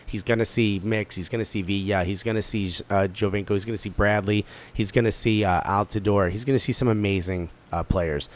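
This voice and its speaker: A man's voice: on the low side, fairly even intonation. A man with a North Jersey accent co-hosting a podcast, joking and chatting casually. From New Jersey area. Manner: fast-talking, joking, plugs the show. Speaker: podcast co-host. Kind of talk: casual chat.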